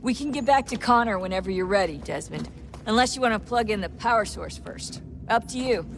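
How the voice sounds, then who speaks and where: A woman's voice: fairly high pitch, a little fast, a little hiss or hum, lightly acted. A woman playing an easygoing tech teammate in a video game, talking casually.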